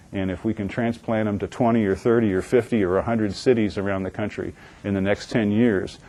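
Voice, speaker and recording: Man, low voice, lecturing with slides, eager, filler-heavy. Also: a little mellow. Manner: enthusiastic, many fillers. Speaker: seminar speaker. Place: lecture room.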